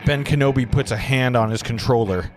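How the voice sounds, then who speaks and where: A man's voice: medium pitch, fairly quick, over music. A man narrating screenplay stage directions at a live table read, with casual asides.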